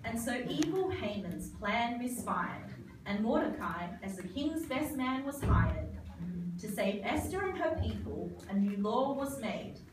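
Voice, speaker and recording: A woman's voice: fairly high pitch, sing-song, unhurried. A woman narrator reading a scripted, rhyming storybook tale for a live performance. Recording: very echoey, distant, noisy live hall.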